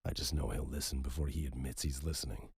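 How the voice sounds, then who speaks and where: A man voicing a wounded, grimly certain survivor in an audiobook, with a roughened voice. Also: a bass voice, fast, no room sound, studio quality, full character voice.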